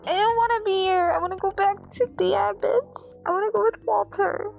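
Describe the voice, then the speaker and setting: A small lost child, played by a woman, very high-pitched with a creaky edge. The child is pleading and vulnerable, an actual-play character heard over faint music. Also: fairly even intonation, a little fast, a little mellow.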